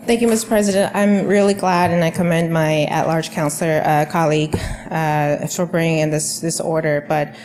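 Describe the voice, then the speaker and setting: A woman city councilor speaking in a council meeting in a measured, procedural way. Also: sits low, steady tone, darkish tone, a little hiss or hum. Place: council chamber.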